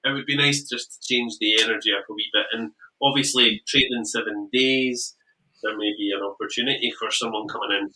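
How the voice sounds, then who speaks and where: A man's voice: fairly low pitch, steady tone, fairly quick, pushed and bright, a little hiss or hum, rough recording. A man with a Scottish accent hosting a show, chatting casually and teasing his guest in a jokey way. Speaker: podcast host. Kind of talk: casual chat.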